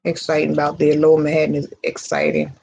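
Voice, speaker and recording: A woman's voice: deep voice, big pitch swings, fast, vocal fry, a little mellow. A woman born in Virginia and raised in New York City, with a slight Southern twang, co-hosting a casual live chat. She is easygoing and chatty and reads out viewer comments. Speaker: co-host. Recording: dull lo-fi audio, faint noise.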